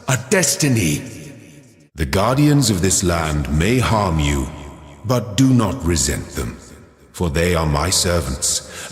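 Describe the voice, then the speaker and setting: A deep slow god voice for a game, fatherly and commanding, big echoey reverb. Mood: impassioned, pleading. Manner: grandiose, measured, formal, preacher-like. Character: godlike male creator, solemn, paternal, commanding AI deity. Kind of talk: solemn scriptural game narration. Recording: cavernous reverb with long echoing tail.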